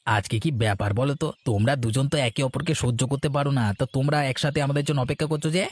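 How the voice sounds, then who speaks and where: A man's voice: medium pitch, quick pace, no echo, lightly acted. A man playing a reasonable, advice-giving husband in a Bengali cartoon. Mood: puzzled. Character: moralising, reasonable husband of a jealous wife.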